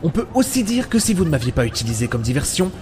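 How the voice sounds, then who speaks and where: A man's voice: a little high, big pitch swings, fast, a little hiss or hum. A man playing an impatient, sardonic loner adventurer in an audio adventure drama, grumbling his lines. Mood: resentful, grumbling. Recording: loud music behind the voice.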